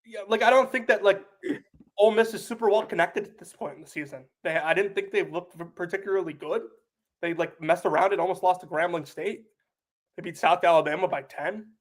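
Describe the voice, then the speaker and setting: Sick young man on a podcast, higher voice. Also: brisk. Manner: lots of "like" fillers, chatty. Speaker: podcast co-host. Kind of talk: casual sports podcast chat.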